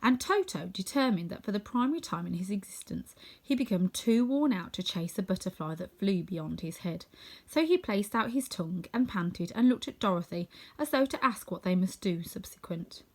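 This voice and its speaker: A woman narrating a children's storybook in an expressive, scripted reading. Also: medium pitch, normal speed. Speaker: storybook reader.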